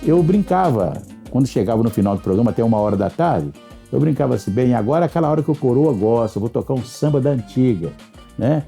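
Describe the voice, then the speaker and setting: An older Brazilian man, a radio presenter, reminiscing casually in a raspy, gravelly voice. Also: mid-range voice, expressive, fast talker, mellow, soft background music.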